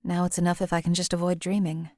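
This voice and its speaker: A woman voicing a cold-blooded female assassin companion in a game, flat, clipped and detached. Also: lowish voice, quick pace, dry recording, studio quality, close to an everyday voice.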